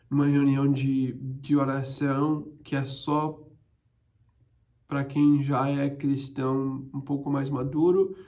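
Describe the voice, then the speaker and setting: A man's voice: mid-range voice, dark tone. A young man speaking Portuguese, teaching a casual Bible study with many hesitations and restarts. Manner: hesitant, frequent restarts and fillers.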